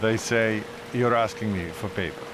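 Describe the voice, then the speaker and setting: A man playing a ruthless, smooth Soviet army major in a video game, commanding and coolly threatening. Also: lowish voice, moderate pace, natural voice. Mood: commanding, menacing. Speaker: military officer villain. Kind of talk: video game cutscene acting. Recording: heavy vehicle and ambience noise behind.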